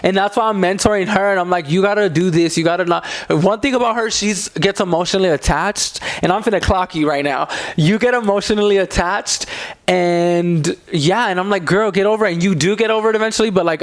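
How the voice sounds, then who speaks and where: A man in his mid-twenties chatting as a podcast host in a casual, gossipy way, animated and sassy with lots of slang. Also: high voice, quick pace.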